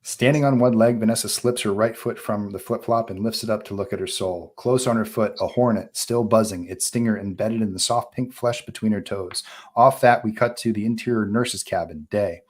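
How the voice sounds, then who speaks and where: A man's voice: on the low side, a little fast. A man narrating stage directions from a film script at a live table read.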